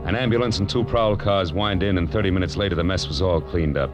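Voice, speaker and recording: An actor playing a wry, tough private detective narrating his case in clipped, deadpan 1940s radio drama style. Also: sits low, quick pace, close to an everyday voice. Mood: wry, tense narration. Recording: vintage radio recording with music behind.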